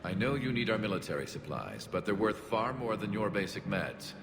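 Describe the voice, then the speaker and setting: A man playing a wary, weary alien refugee leader in a video game, speaking in a grave, measured and formal way. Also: lowish voice, lively intonation, vocal fry. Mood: wary, frustrated. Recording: music behind the voice.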